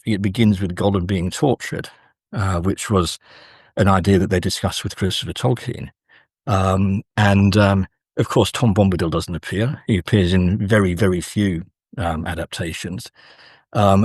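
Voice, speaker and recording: Male academic for interview, lowish husky voice, close dry sound, thoughtful and halting delivery. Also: darkish tone, dry recording. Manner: measured, hesitant, scholarly. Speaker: academic guest, author. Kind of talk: thoughtful literary interview discussion.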